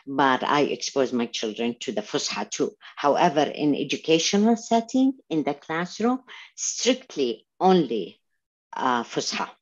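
A woman's voice: low voice, sing-song, a little slow, dull audio. A middle-aged woman from Syria, an Arabic teacher, speaking earnestly with restarts on a video call through a headset. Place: home room indoors.

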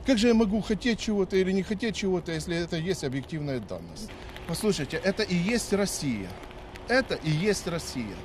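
A man in his fifties argues his point in a lecturing tone outdoors, stopping often on hesitation sounds. His voice sits a little high for a man. Faint traffic noise hums behind him on the street. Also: very animated, a little fast. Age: 50s. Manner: argumentative, lecturing with many hesitations. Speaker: dictation participant. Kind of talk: street interview answers.

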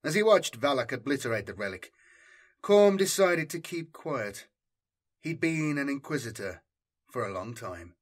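A man narrating a science fiction story in a dramatic, measured and deliberate way. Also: medium pitch, very animated. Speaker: audiobook narrator and channel host.

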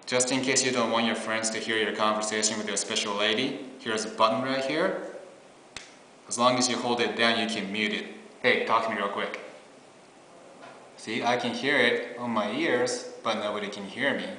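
A man's voice: lowish voice, steady tone, normal speed, bright, forceful tone, slight background noise. A man in his twenties giving a casual, laid-back product demo in an informal way. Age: 20s. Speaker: product presenter. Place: small bare meeting room indoors. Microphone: camera on table, about arm's length or farther. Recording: echoey room, faint music.

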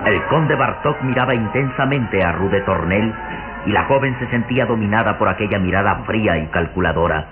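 Male narrator for vintage radio drama, solemn and suspenseful, low, over prominent music. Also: expressive, brisk. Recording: muffled old radio recording. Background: loud dramatic music.

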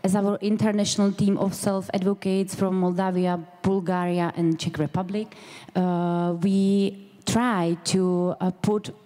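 A woman's voice: mid-range voice, little pitch movement, measured pace, hardly any pauses, dark tone, soft background music. A young woman working as an interpreter on a conference stage, speaking into a handheld microphone. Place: on stage in a large conference hall. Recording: reverberant conference hall sound.

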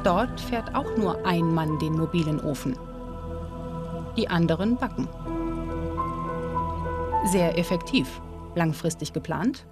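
A woman narrating a documentary in a calm, measured, informative way. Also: fairly low pitch. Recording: voice-over with music behind. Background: music.

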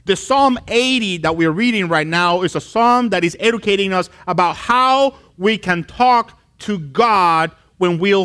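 A man's voice: high-pitched, fairly bright tone, clean tone. A man in his thirties from Colombia, a pastor preaching a sermon on a church stage, telling a story animatedly with acted-out voices. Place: church stage with wood wall.

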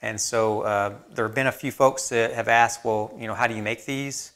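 A man's voice: medium pitch, moderate pace. A man in his thirties, host of a woodworking channel, explaining a build casually with plenty of fillers, recorded on a lapel mic in his workshop. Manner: relaxed, plain-spoken, many fillers. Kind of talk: casual how-to narration. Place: woodworking shop indoors.

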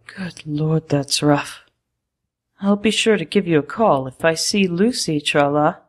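An apologetic cartoon skeleton, played by a woman, speaks in a low register at an unhurried pace. The words come hesitantly and at length, full of regret, with an excitable streak. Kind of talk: fan comic dub acting.

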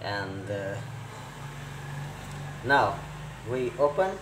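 A man who is not a native English speaker, presenting a hands-on repair tutorial in short, halting phrases with many hesitations. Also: on the low side. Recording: roomy echo with clear background noise.

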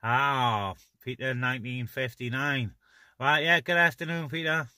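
Guy doing casual cb radio chat from his car, sharp bright voice, pretty dry sound. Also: mid-range voice, steady tone, unhurried, dry recording. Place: inside a parked car on open moorland.

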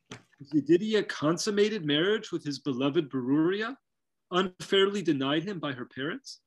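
A middle-aged man delivers scholarly narration over a video call. His voice sits a little high, and his phrasing is erudite and wry, like a presenter reading a prepared lecture. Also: poor audio. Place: home study with bookshelves, indoors.

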